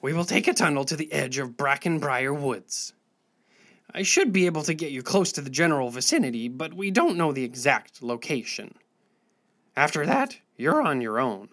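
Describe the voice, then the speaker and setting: A man playing a fussy, excitable rabbit guide in a children's fantasy audio drama, brisk and prim. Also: on the high side, full character voice. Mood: brisk, curt.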